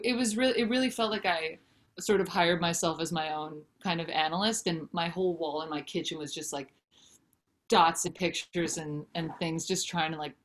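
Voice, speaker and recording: A woman in her thirties, a singer-songwriter and author, talking candidly and animatedly over a video call from home. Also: fairly low pitch. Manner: candid, animated, gesturing with hands. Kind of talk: casual interview chat. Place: home room with brick wall.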